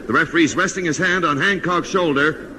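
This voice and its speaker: A man playing a brisk radio boxing commentator in a 1950s radio comedy. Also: a little high, bright, forceful tone. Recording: noisy old radio broadcast recording.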